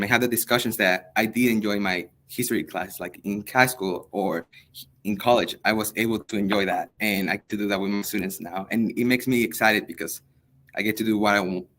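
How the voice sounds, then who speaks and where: Earnest, hesitant young man, low voice. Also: fairly bright tone, slight background noise. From El Salvador. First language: Spanish. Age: young adult. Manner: hesitant, many ums, earnest. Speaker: high school history teacher. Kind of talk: interview answers.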